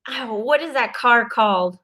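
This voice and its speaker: A woman's voice: fairly high pitch, expressive, moderate pace, bright, forceful tone. A Belgian woman whose first language is Dutch, doing a casual, earnest card reading for viewers and encouraging them with plenty of fillers and restarts. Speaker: intuitive card reader.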